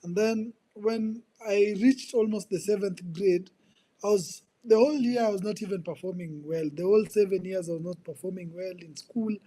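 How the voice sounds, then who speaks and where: Kenyan guy in his 20s, earnest, repeats himself a lot, kinda high voice. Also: normal speed, warm tone, low-quality recording. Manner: earnest, repeats words while searching for them. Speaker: guest, ministry coach. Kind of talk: personal testimony in conversation.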